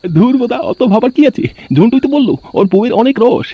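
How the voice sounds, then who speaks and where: A man's voice: very high voice, expressive, fast talker, husky, dry recording, highs cut off, exaggerated voice. A man voicing a sly, lecherous, boastful schemer in a crude comedy cartoon, talking in a conspiratorial way. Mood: lustful, scheming. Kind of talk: amateur comedy cartoon dub. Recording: faint noise behind the voice.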